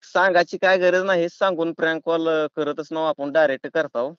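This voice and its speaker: A man's voice: fairly high pitch, little pitch movement, normal speed, fairly bright tone, smooth, dry recording. A man with a rural Maharashtrian accent talking in a pushy, folksy, argumentative way on a prank phone call. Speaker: prank caller. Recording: dull, lo-fi phone call recording.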